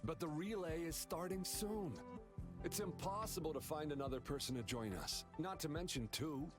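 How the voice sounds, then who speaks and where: A man's voice: a little high, moderate pace, natural voice. A man playing an easily flustered, whiny young relay racer in a video game. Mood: dejected. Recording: music playing behind the voice.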